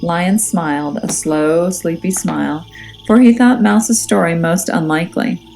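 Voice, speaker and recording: A woman reading a children's story aloud as a teacher, in a measured, expressive and suspenseful way. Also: lowish voice, vocal fry, rough recording. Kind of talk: dramatic children's storybook narration. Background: music and nature sounds.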